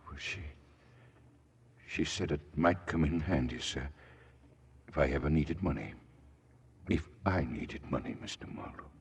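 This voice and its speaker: Faithful manservant, radio drama: extremely low, husky voice, hesitant, deferential delivery. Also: male voice, exaggerated voice. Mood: humble, hesitant. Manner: hesitant, halting, respectful. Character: deferential, devoted, anxious male longtime servant. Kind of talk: 1940s-50s American radio drama acting.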